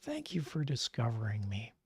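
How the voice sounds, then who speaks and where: An older man with a gravelly, husky voice, talking slowly and easily. He chats on a livestream, gushing and dropping fillers as he rambles. The sound is close and dry. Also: medium pitch, wildly swooping pitch, no echo. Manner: chatty, gushing, peppered with fillers. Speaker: streamer. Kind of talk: casual livestream chat.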